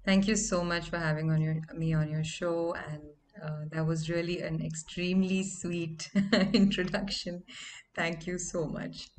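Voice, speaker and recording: A woman in her thirties with a deeper voice, telling stories about her music in a lively, conversational way, from a small room at home. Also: measured pace, slight background noise. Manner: animated, conversational storytelling. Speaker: singer and interview guest. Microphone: microphone on stand in home studio.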